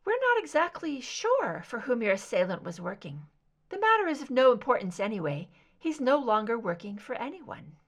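A woman voicing a composed, mysterious female captor in a clear, soft, delicate soprano, cryptic and deliberate in audio drama style. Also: on the high side, sing-song. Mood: cool, cryptic.

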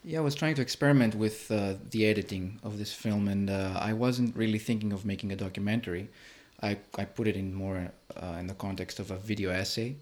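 Guy with a lowish voice in an interview, kinda halting, keeps restarting his sentences. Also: fairly even intonation, a little mellow. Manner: halting, repeats words while searching for phrasing. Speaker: filmmaker being interviewed. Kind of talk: interview conversation.

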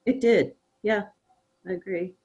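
A woman's voice: mid-range voice, a little slow, darkish tone, breathy edge. A woman talking casually in a discussion after a play reading.

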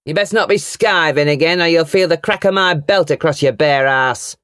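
A woman voicing an angry, bullying middle-aged farm uncle in a storybook, booming and coarse. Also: normal speed, no echo, studio quality. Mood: furious. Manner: coarse, shouting and sneering.

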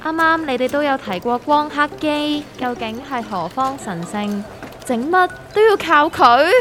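A naive, excitable novice investor in a comedic radio drama, acted by a woman, with a very high, bright Hong Kong Cantonese voice. Music plays under the dialogue. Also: a little slow. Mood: curious.

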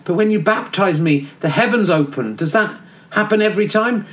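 A man's voice: sits high, moderate pace, pitched above the performer's own voice, natural voice. A man voicing an earnest, exasperated young holy man in a radio comedy sketch, insistent and indignant. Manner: patient then indignant, insistent.

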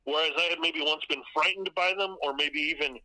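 A man in his fifties from California, telling stories from his youth in a relaxed conversation, measured and reflective, often restarting his sentences. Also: on the high side, rough recording. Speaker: guest. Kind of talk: casual conversation.

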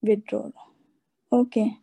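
A woman's voice: mid-range voice, flat delivery, slow, gravelly, mellow, husky. A woman calling into an advice show, asking anxious questions with many likes and uhs. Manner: hesitant, filler-heavy, anxious questions. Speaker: caller.

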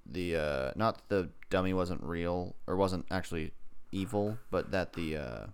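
A man hosting a podcast in casual, joking banter, teasing in a dry, deadpan way. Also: low voice, a little slow, clean tone. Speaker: podcast host.

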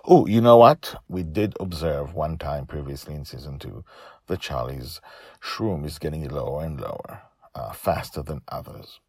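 Low-voiced man with animated storytelling delivery. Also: moderate pace, slightly rough. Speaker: author and podcast narrator. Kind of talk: scripted story narration and informal podcast talk.

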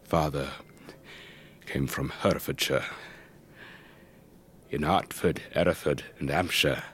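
An actor playing an elderly English retired air force officer, telling his story in a measured, wistful, old-fashioned way for a radio drama. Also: very deep voice, steady tone, a bit bright, exaggerated voice. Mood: wry, nostalgic. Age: older. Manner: measured, old-fashioned, reflective, breathy trailing phrases. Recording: faint background noise.

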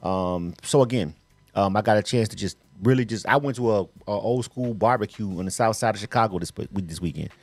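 A man's voice: on the low side, a little fast, a little mellow, no echo. A middle-aged man from Florida hosting a radio interview, loose and slangy, rambling and peppering his talk with casual asides. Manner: loose, slangy, rambling with frequent "you know what I'm saying". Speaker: radio host.

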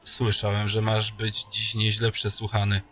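A man playing a teasing, bright-voiced captain. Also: lowish voice, completely flat delivery, a little slow. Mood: friendly. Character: jokey male captain, old friend. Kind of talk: fantasy audio drama acting.

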